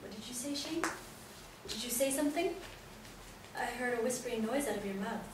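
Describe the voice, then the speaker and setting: A devoted, anxious mother, played by a woman at a stage reading, coaxing and reassuring with hope. Her highish voice sits far from the mic in a very echoey room with clear hiss. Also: brisk, natural voice. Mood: eager. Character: devoted, hopeful, anxious mother of an injured son. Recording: very echoey room, distant mic, hissy noise.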